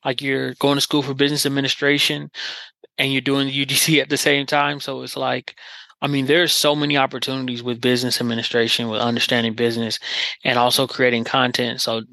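Bright, earnest male podcast host. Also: mid-range voice, level tone, fairly quick, vocal fry, no echo. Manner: encouraging, earnest, many filler phrases. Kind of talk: casual interview chat.

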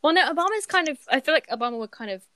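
A woman's voice: sits high, lively intonation, racing, pushed and bright. A young woman hosting a podcast, chatting informally and slangily with friends. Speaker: podcast host reading out questions. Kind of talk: casual chat among friends.

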